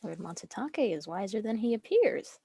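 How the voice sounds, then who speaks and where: A woman's voice: mid-range voice, sing-song, moderate pace, creaky, dark tone, breathy edge, no room sound, exaggerated voice. A woman playing a proud, composed noble widow in a dramatic script reading, speaking formally and with poise. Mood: shrewd.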